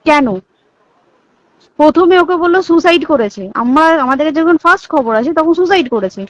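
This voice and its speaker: High-voiced Indian Bengali woman on the phone. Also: animated, moderate pace, dry recording. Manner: emphatic, repeats points, checks listener understands. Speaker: hospital staff member. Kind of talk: private phone conversation, recounting insider details. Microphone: phone call recording. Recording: dull lo-fi recorded phone call.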